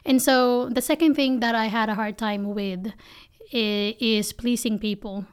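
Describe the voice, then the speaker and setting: A woman's voice: fairly high pitch, fairly even intonation, a little slow, smooth. A woman from the Philippines with a Filipino accent, speaking candidly and emphatically in conversational interview answers as a company founder.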